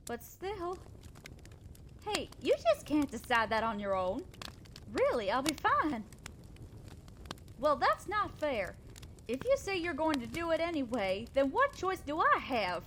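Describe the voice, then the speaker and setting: A woman playing a kind, selfless, self-doubting female centaur in a roleplay audio drama, speaking earnestly, gently and hesitantly to the listener. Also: sits high, sing-song, a little slow, pauses often, a little hiss or hum, heavily acted. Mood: flustered, reluctant.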